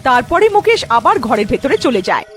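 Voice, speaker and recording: A woman's voice: sits high, big pitch swings, a little fast, faint music behind. A woman narrating a scripted cartoon story.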